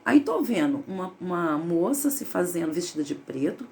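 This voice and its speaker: A woman speaks, her voice low, dark and full of fry. She holds forth in an emphatic, opinionated monologue that wanders from point to point, talking from a room at home. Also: very animated, moderate pace, a little hiss or hum. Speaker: spiritualist vlogger. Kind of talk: casual spiritual monologue.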